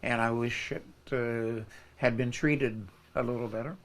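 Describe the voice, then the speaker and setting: Halting American man in an interview. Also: mid-range voice, fairly even intonation. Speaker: foundation director being interviewed. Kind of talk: unscripted interview remarks.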